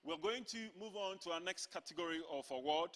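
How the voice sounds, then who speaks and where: A man hosting an online awards ceremony, announcing winners in a formal, measured way and calling them on air. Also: a little high, lively intonation, fairly bright tone.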